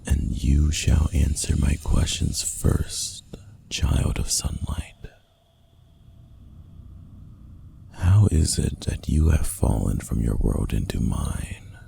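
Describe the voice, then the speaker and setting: Male actor as stern fantasy ruler, extremely low rumbling voice, slow measured delivery, hushed, formal and commanding, ASMR roleplay. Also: slight background noise, full character voice. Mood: haughty, formal. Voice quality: gravelly, hushed, half-whispered. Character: stern, possessive masked ruler of an underground city.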